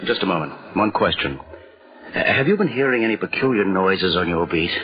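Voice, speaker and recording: Curt, low-voiced private detective. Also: male voice, animated, brisk. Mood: curt, probing. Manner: clipped, commanding, sarcastic. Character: curt, obsessed male private detective, sardonic. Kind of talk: 1940s radio acting. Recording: muffled old-time radio recording.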